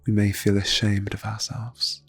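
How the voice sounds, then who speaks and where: A man leading an ASMR guided meditation in a soft, breathy near-whisper, phrasing slowly and soothingly with long pauses. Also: on the low side, completely flat delivery. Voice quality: breathy, hushed.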